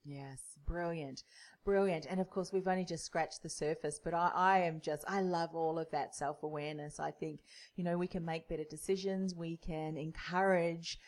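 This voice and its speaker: Lady podcast host, lowish voice, chatty and affirming. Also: fairly even intonation, normal speed, a little mellow. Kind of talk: podcast interview.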